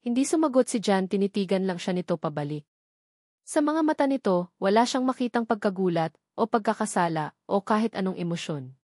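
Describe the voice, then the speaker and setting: A woman's voice: mid-range voice, expressive, fairly quick, darkish tone, no room sound, studio quality. A woman narrating a scripted romantic drama as an audiobook, with dramatic, emphatic phrasing.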